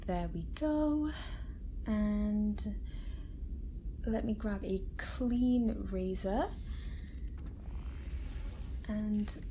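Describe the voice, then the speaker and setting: A woman playing a kind, gentle scientist in an ASMR roleplay, reassuring a patient in a soft, unhurried voice close to the microphone. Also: medium pitch, poor audio. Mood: calm, attentive. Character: kind, gentle female scientist doctor soothing an alien patient. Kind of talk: ASMR roleplay, soft-spoken personal attention. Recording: steady sci-fi ambience hum behind. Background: sci-fi laboratory ambience.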